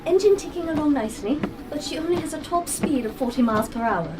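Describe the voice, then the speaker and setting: A woman playing a quick-witted, eccentric time-travelling adventurer in an audio drama, rambling breezily and flippantly even in danger. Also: high-pitched, recorded from a distance, noisy background. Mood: flippant, brisk.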